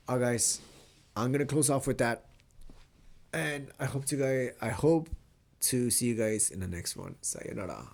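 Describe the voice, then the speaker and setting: A young man from Mexico talking casually in a vlog, with a stuffy, nasal voice from a cold, rambling and swearing easily. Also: lowish voice. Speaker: vlogger.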